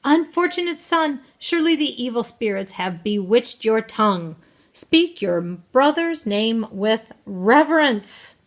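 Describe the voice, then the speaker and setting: A woman's voice: fairly high pitch, big pitch swings, unhurried, voice pushed up. A woman voicing a proud, stern mother with formal, dramatic delivery in a children's story. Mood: scolding. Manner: formal, declamatory, scolding.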